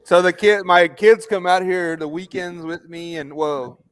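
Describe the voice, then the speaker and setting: A man's voice: high voice, flat delivery, a little slow, clear, resonant tone. A man, chatting in a laid-back, plainspoken way. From South Texas. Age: 30s. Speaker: guest. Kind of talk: casual group chat.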